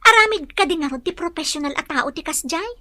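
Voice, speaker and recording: A woman acting a bashful, warm-hearted young hospital worker in an Ilocano radio-style drama, earnest and expressive, with an Ilocano Filipino accent. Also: very high voice, quick pace, no room sound, exaggerated voice. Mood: protesting.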